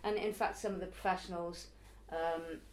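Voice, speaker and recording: A woman's voice: on the low side, steady tone, moderate pace, a crowd in the background. A woman in her early forties, a circus performer and youth circus teacher, answering interview questions in a chatty, reflective way. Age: early 40s. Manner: chatty, reflective, laughs now and then.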